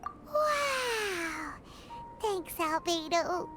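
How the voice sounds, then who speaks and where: A tiny fairy companion from a video game, extremely high and squeaky. She is excitable and indignant by temper, bouncing widely in pitch at an unhurried overall pace, with loud music underneath. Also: exaggerated voice. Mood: delighted. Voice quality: squeaky, childlike. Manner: refers to self in third person, exclamatory. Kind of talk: animated video game character acting. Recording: music behind the voice.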